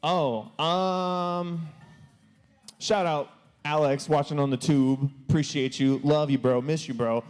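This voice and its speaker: A man's voice: a little high, steady tone, takes it slowly, a little mellow, clean tone, soft background music. A young man hosting a youth service live stream, chatting casually and playfully. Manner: playful, stumbling over foreign words. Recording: long church hall reverb.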